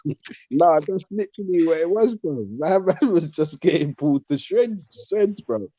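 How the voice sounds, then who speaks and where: A man's voice: a little high, moderate pace, dark tone, no room sound. A man chatting casually about football as a podcast guest, in slangy speech on a muffled recording. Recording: dull, muffled lo-fi sound.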